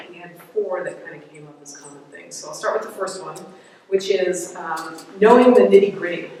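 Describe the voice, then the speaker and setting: A woman leads a panel discussion in a loose, chatty way, full of ums. Her voice sits a little low. She is heard from a distance in a large hall with a long, echoing reverb. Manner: chatty, many fillers, laughs easily. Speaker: panel moderator. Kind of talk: casual panel moderation. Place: large event hall with an audience. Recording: echoey hall from far off, faint noise.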